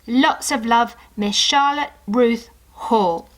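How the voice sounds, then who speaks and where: Storybook little girl, played by a woman narrator: earnest, sweet, careful and deliberate, slightly raised voice. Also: a little high. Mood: earnest, hopeful. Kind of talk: children's storybook read-aloud.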